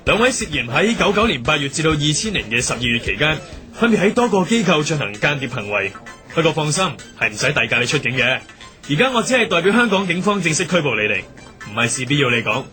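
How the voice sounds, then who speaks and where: An actor playing a brisk, slick and officious male announcer in a Cantonese radio drama, with formal delivery. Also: on the high side, big pitch swings, pushed and bright, soft background music.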